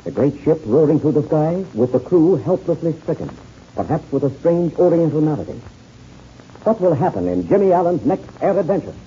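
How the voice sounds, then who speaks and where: Dark-voiced, dramatic male serial announcer. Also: on the high side, very animated, a little fast. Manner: dramatic, portentous. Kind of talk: 1930s radio serial narration. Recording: muffled old radio recording, faint noise.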